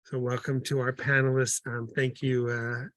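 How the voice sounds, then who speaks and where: A man's voice: medium pitch, level tone, normal speed, fairly bright tone, no room sound. A man moderating a panel on a video call, welcoming the guests with frequent fillers and repeated words.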